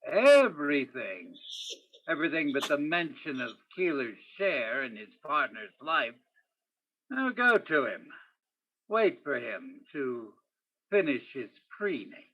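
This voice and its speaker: A man's voice: fairly high pitch, huge pitch swings, slow pace, highs cut off, heavily acted. A man playing a probing, wry police detective in an old-time radio crime play, with terse, hard-boiled questioning. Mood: brooding narration. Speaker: student or guest stage actor in radio play.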